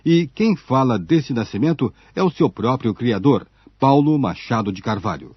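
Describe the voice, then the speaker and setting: A man's voice: medium pitch, expressive, normal speed, slightly rough, no echo. A Brazilian man reading scripted narration for a radio documentary. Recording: muffled phone-like shortwave radio archive audio.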